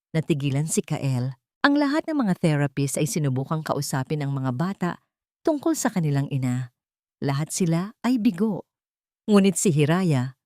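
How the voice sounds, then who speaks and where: Female narrator, lower register, scripted romantic drama storytelling, close dry sound. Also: mellow, no room sound.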